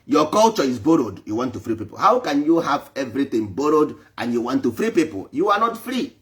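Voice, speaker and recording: A man's voice: mid-range voice, very animated, a little fast. Emphatic preaching man. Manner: emphatic, repetitive, switching between English and Igbo. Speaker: traditional spiritual speaker. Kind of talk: preaching to a live online audience.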